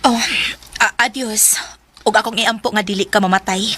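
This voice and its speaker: A woman's voice: mid-range voice, fairly even intonation, normal speed, a bit bright, lightly acted. A woman playing an outspoken, curious young working woman in a Bisaya radio drama, with a blunt questioning manner and a Filipino accent. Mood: blunt, brisk.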